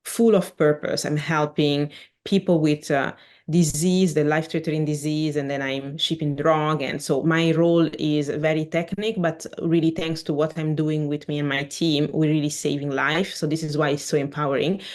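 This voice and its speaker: A woman's voice: low voice, moderate pace. Woman raised in switzerland, english not her first language, chatty and earnest, lots of restarts. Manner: earnest, animated, with frequent restarts and non-native grammar. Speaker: podcast guest. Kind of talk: casual interview chat.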